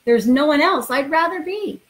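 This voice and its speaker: A woman's voice: sits high, lively intonation, normal speed, fairly bright tone. A woman in middle age, a school principal, talking informally and reading a picture book to children in an encouraging way. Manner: encouraging, addresses children directly.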